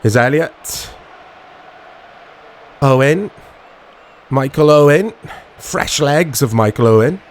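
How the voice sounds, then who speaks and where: Slow-paced man with a strained voice. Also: mid-range voice, animated. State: voice strained, going hoarse. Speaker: gaming channel host. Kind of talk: casual football video game commentary.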